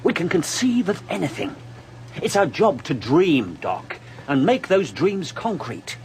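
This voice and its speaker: Condescending scientist played by a man, very animated pitch. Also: a little slow, slight background noise. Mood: insistent. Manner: condescending, cutting. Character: arrogant, zealous male research scientist. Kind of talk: audio drama acting.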